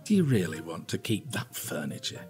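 A British actor playing a dry, weary older detective inspector at home in an audio drama. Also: male voice, deep voice, huge pitch swings, moderate pace, a little mellow, soft background music. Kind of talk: naturalistic audio drama dialogue.

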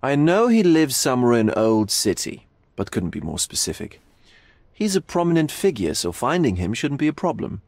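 A theatrical gallery owner for a game cutscene. He is languid and pompous, lingering on words with philosophical flair. His pitch rises and falls dramatically, in a dry, close recording. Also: huge pitch swings, moderate pace, darkish tone, dry recording. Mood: musing.

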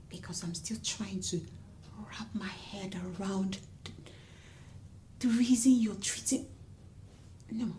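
An actress playing a young wife who reproaches her husband for mistreating his mother, moving from hushed whispers to shrill scolding. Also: a little hiss or hum, natural voice. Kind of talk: melodrama acting.

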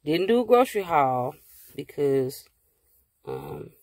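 A woman in her thirties chatting casually to her phone from inside a parked car, rambling and relaxed with many fillers. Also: sits low, slow pace. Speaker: vlogger. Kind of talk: casual car chat to camera. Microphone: phone held at arm's length.

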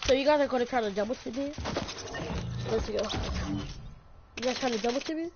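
A woman's voice: on the high side, a little fast. A woman chatting casually while playing a shooter game with friends, playful and teasing, bossing her teammates around. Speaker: gamer streaming with friends. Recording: dull lo-fi sound, loud game noise behind. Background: loud gunfire and game sounds.

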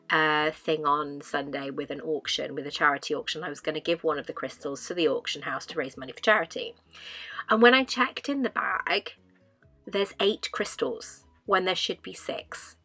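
Chatty lady rambling on a vlog voiceover, kinda low voice, stresses stuff, bit of music behind. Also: a bit bright, dry recording. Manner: rambling, emphatic, repeats herself for stress. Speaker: vlogger narrating a collection clean-up. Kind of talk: casual chatty voice-over.

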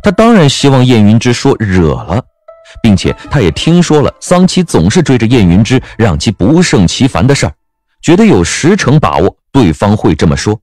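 A man narrating a scripted story in a wry, expressive manner. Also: mid-range voice, moderate pace, no echo, faint music behind.

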